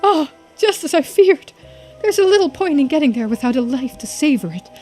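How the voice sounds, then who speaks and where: A woman voicing a florid, well-spoken romantic gentleman in a video game, with formal, dramatic delivery. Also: high-pitched, lively intonation, fairly quick, faint music behind. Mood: disappointed. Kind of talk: video game dialogue read aloud in character.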